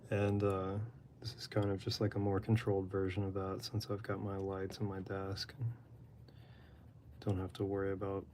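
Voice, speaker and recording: Low mellow guy, kinda gravelly, flat monotone rambling chat, faint noise in the room. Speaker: artist drawing live. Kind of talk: casual chat while drawing. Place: apartment room.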